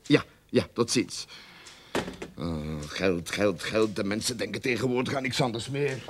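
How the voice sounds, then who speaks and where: An actor in a radio play playing a blustering, money-grubbing, lying detective agency boss with a sarcastic, exasperated manner. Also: male voice, fairly low pitch, a little slow, noisy background, close to an everyday voice. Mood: smooth, then grumbling. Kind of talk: radio drama acting.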